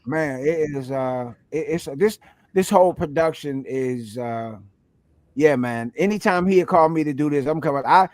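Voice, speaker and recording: Emphatic higher-voiced man, slangy and close. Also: normal speed, a little mellow, dry recording. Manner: slangy, emphatic, repeats phrases. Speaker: performer and panel guest. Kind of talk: casual, heartfelt interview talk.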